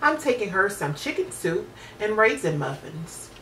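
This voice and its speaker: A woman's voice: medium pitch, sing-song, normal speed, fairly bright tone. A woman voicing a sweet, trusting little girl in a storybook, innocent and eager. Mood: innocent, cheerful. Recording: roomy, distant mic with hiss.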